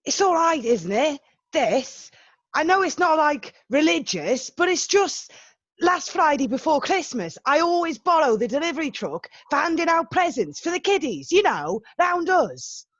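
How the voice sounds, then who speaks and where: A woman's voice: high-pitched, sing-song, unhurried, no echo, lo-fi sound. Woman reading as a cheery dad in a Santa suit. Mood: chatty. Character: cheery working-class dad dressed as Santa. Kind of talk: amateur online play reading.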